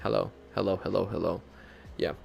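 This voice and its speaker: Young filipino guy streaming, low gravelly voice, chatty and laughs a lot, kinda american-sounding english. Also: brisk, soft background music. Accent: light North American-leaning English, Filipino speaker. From Philippines. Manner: chatty, self-deprecating, laughs often, animated when retelling. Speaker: VTuber streamer. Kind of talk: casual live-stream chat with viewers.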